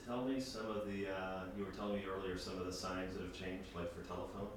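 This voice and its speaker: Off-camera male interviewer, very low voice, heard from a distance in a very echoey room. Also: little pitch movement, quick pace. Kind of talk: documentary interview questions. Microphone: away from camera mic. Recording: distant, echoey, noisy camcorder audio.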